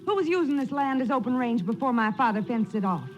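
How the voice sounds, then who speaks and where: An actress playing a feisty, outspoken ranch owner in a 1940s radio western. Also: female voice, a little high, lively intonation, fast talker, faint music behind, close to an everyday voice. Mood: bitter, defiant. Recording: noisy old radio drama recording.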